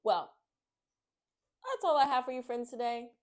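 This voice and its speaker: A woman hosting a children's read-aloud, speaking in an expressive, excitable, friendly way to young viewers. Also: high-pitched, moderate pace. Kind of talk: storybook narration and friendly chat to young viewers.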